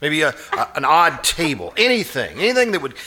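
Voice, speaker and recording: Man acting an anxious, haunted fellow, dramatically animated. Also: a little high, full character voice. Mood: insistent, pleading. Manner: halting, pleading, earnest and dramatic. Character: anxious, haunted man obsessed with a shadowy vision. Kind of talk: live old-time radio suspense acting.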